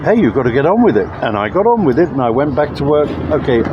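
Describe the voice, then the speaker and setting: An older man in his seventies from Ukraine tells blunt, animated stories in a voice of middle pitch. Traffic noise is clearly heard around him. He talks outdoors by the street. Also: fast, highs cut off. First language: Ukrainian or Russian. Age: 70s. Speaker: guest. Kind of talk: casual storytelling chat.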